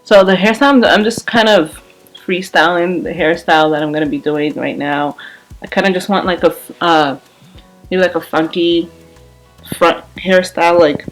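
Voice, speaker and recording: Low-voiced rambling woman in her twenties. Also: normal speed, faint music behind, low-quality recording. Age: 20s. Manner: rambling, repeats herself, many fillers. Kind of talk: casual chat while doing her hair.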